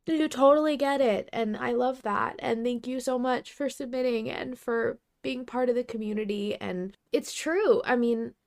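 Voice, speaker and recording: A woman's voice: fairly high pitch, normal speed, vocal fry, hardly any pauses, darkish tone. A woman co-hosting a podcast in casual chat, effusive and emphatic. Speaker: podcast co-host.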